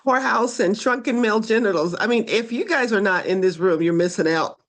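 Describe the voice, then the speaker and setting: Webinar co-host, playful, quick reactions. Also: mid-range voice, lively intonation, a bit bright.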